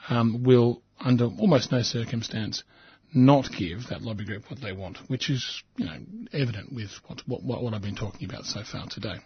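A man with an Australian accent and a croaky, hoarse voice, hosting talk radio with many ums and repeated words. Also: mid-range voice, normal speed, hardly any pauses, no echo, highs cut off. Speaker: radio host. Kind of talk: casual talk radio commentary.

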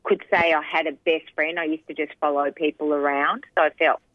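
A woman in her sixties, from Sri Lanka, telling her life story in an interview, soft-spoken and hesitant with many pauses. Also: low voice, fairly even intonation, no echo. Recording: dry, phone-like narrow sound.

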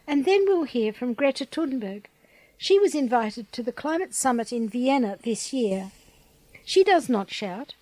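A woman's voice: a little high, darkish tone, no room sound, poor audio. A woman presenting a radio programme, reading a scripted introduction to listeners.

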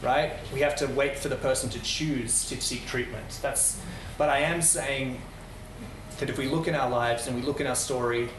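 A man's voice: mid-range voice, fairly even intonation, moderate pace. A man who grew up in the Netherlands speaks to a small group in a passionate, rambling way, restarting his sentences often. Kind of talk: earnest unscripted talk. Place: room with a live audience. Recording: roomy, distant mic, noisy.

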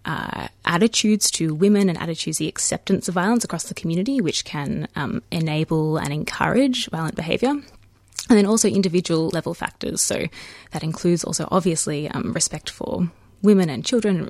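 Australian woman, 30s, low voice, measured radio guest, dry studio sound. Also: darkish tone, no room sound. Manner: measured, explanatory, frequent ums. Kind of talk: radio interview.

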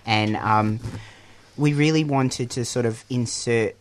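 A man guesting on a radio interview, talking loosely and warmly about his book. His voice sits at an ordinary middle pitch for a man, and he drops in lots of "you know" and small laughs as he goes. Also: slow. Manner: chatty, with many fillers and laughs.

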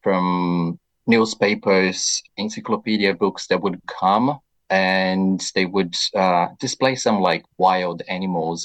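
A man from Brazil with a Brazilian accent, a guest on a radio interview, answering in a reflective, measured way with soft fillers. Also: low voice, low-quality recording.

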